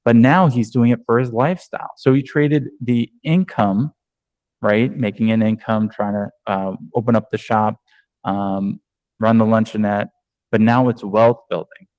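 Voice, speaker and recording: A man's voice: fairly low pitch, steady tone, a little slow, a little mellow, no room sound, poor audio. A man from New York, a real estate agent, giving a conversational online training over a video call with many ums and restarts.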